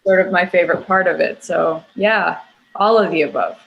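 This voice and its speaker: A woman's voice: lowish voice, normal speed, vocal fry, muffled recording. Easygoing woman with a mid-range voice. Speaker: actor on a panel. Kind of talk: casual panel conversation.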